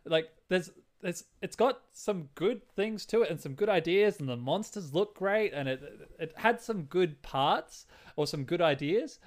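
A man's voice: high voice, lively intonation, unhurried. A man co-hosting a casual film discussion podcast, rambling and thinking aloud as he talks. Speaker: podcast co-host.

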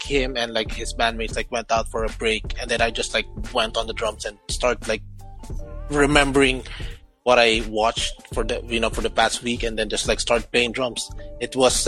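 A young man from the Philippines, a musician guest, chatting casually and filling every pause with "like" and "you know". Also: mid-range voice, bright, forceful tone, low-quality recording. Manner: rambling, heavy on "like" and "you know". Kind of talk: casual chat.

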